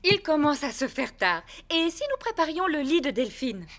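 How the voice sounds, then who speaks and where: A woman voicing a kindly, cheerful mother pig in a French cartoon dub, gentle and expressive. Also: sits high, normal speed, slight background noise.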